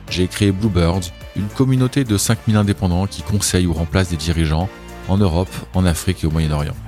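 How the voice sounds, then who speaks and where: A man in his forties with a very low voice hosts a podcast. He reads a measured introduction and then chats casually, with hesitant fillers. Faint music plays behind him. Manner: measured, deliberate reading; relaxed and hesitant in conversation. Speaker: podcast host.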